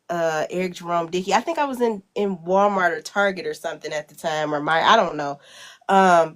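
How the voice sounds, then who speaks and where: A woman's voice: on the low side, clear, resonant tone. A woman author getting over a cold, sounding a bit congested, talking in a rambling, hesitant way with many fillers over a video call. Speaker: guest author. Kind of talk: casual interview chat.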